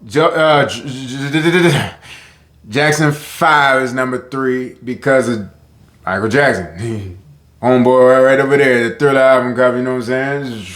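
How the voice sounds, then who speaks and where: A man with a deep voice rambling casually to his viewers, interrupting himself and often asking if they know what he's saying. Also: a little slow, a bit bright, reverberant. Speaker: vlogger. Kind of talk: casual talk to camera.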